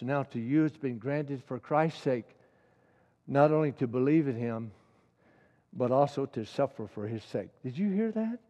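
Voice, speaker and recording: A man's voice: medium pitch, warm tone, big-room sound. A middle-aged man preaching a sermon with passion, repeating key lines and building to emphatic peaks.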